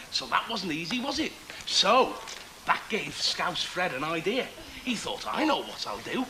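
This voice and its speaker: An actor playing a cheery working-class chancer with a Liverpool accent and the gift of the gab, chatty and wisecracking in a naturalistic drama. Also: male voice, very high-pitched, background noise.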